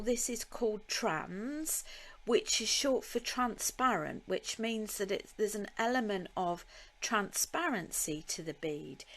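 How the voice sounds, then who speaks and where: This is a woman teaching a craft on a live stream, talking in an unhurried, friendly way. Her pitch sits in a middle range, and she often pauses with an um as she works. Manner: chatty and slow, with laughs and ums, talking to viewers by name. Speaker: beading tutor.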